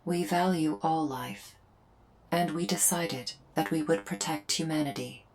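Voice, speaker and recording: A woman voicing a solemn female alien invader in a scripted ASMR roleplay, speaking in measured, formal, deliberate phrases with pauses. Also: low voice, darkish tone, poor audio. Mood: solemn, explanatory. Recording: music behind the voice. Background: music.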